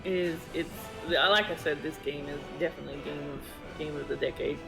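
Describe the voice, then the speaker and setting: A man's voice: on the high side, steady tone, fairly quick, creaky. A man chatting casually as a co-op gaming partner on a livestream. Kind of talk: casual chat. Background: music.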